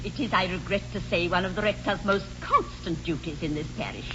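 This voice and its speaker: An actress playing a prim, middle-aged English spinster governess in a 1940s radio comedy, speaking precisely and sententiously. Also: female voice, lowish voice, fairly quick, close to an everyday voice. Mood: prim disapproval. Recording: dull, noisy 1940s radio broadcast.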